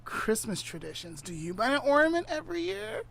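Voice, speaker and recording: A woman's voice: high voice, huge pitch swings, fairly quick, creaky, a crowd in the background. A woman chatting casually as a podcast host. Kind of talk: casual chat.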